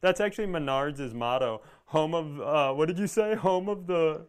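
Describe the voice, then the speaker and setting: A man's voice: a little high, expressive, normal speed, clear, resonant tone. A middle-aged American man chatting casually and comedically as a co-host, riffing and checking what he has heard.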